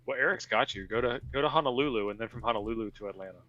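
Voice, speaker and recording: A man's voice: mid-range voice, racing, slight background noise. A man from the United States chatting casually in an offhand, wry way over a lo-fi connection. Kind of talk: casual group chat. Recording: dull, lo-fi voice connection.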